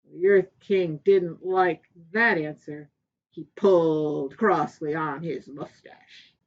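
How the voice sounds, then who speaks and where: Slow, expressive woman storyteller. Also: sits low, dull audio. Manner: expressive, deliberate pauses. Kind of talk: animated children's story reading.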